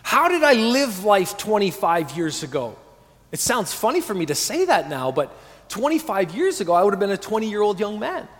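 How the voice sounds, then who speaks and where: A man's voice: high voice, sing-song, normal speed, big-room sound. A man in his forties preaching an earnest sermon as pastor, calling on the congregation to answer. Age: 40s. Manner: earnest, calls for congregation responses.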